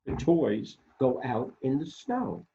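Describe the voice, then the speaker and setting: A man's voice: mid-range voice, sing-song, takes it slowly, gravelly, warm tone, muffled recording, a little hiss or hum. A man hosting a children's library storytime, reading a picture book expressively and speaking directly to young listeners. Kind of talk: animated children's picture-book read-aloud.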